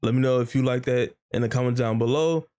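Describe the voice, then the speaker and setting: A man's voice: mid-range voice, fast, dark tone. Casual man reacting to game cutscenes. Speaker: reaction video host.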